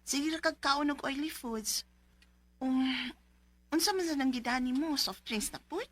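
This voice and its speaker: An actress with a Filipino Bisaya accent playing a caring but nagging young wife in a radio drama. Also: female voice, high-pitched, unhurried, fairly bright tone. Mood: nagging, concerned. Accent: Filipino, Cebuano Bisaya.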